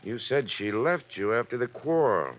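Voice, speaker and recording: A man's voice: medium pitch, animated, normal speed, close to an everyday voice. An actor playing a male police detective in an old radio drama, probing and casually menacing. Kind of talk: 1940s radio acting. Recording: dull old radio recording.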